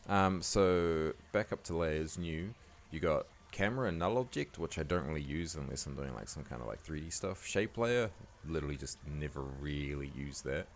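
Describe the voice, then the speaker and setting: Croaky, very deep-voiced laid-back man. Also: expressive, moderate pace, hardly any pauses, a little hiss or hum. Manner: laid-back, many "like" and "um" fillers. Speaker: video editing tutor. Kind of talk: casual screen-recording tutorial voice-over.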